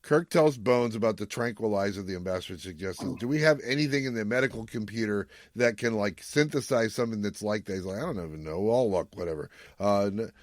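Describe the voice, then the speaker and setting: A man's voice: on the low side, brisk, hardly any pauses, no room sound, studio quality. A man hosting a podcast, casually recapping an episode and jokily paraphrasing its dialogue. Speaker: podcast host.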